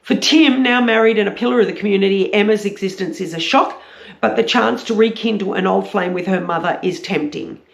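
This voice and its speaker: A woman with a croaky voice, chatting casually and a little hesitantly as a book reviewer. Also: medium pitch, brisk. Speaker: book reviewer, vlog host. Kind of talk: casual book chat with read-aloud blurbs. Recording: roomy, echoey sound.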